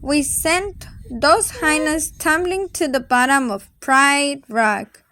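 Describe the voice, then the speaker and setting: A woman reading a children's storybook aloud in an expressive, deliberate, dramatic way. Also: high voice, dry recording.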